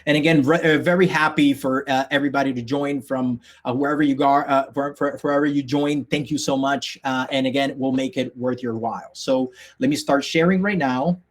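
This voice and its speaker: A man's voice: mid-range voice, steady tone, a little fast, few pauses. A man in his thirties from the Dominican Republic, a native Spanish speaker, teaching a live online coding workshop over a video call, upbeat, with many fillers and restarts. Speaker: workshop instructor.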